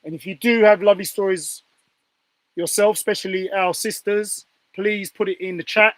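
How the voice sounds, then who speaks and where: A man's voice: sits high, fairly even intonation, moderate pace, fairly bright tone, no room sound. A man hosting a panel talk show in a chatty style. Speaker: presenter and host.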